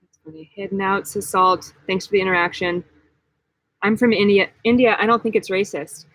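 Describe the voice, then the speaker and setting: A woman's voice: medium pitch, fairly even intonation, moderate pace, fairly bright tone, no echo, rough recording. Chatty young woman, dry close sound. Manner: chatty, giggly, many likes. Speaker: vlog host. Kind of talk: casual live-stream Q&A.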